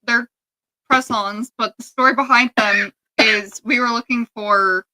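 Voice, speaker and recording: Woman co-host, bright tone, casual quick stream chatter. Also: on the high side, steady tone, rough recording. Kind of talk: casual live-stream chat.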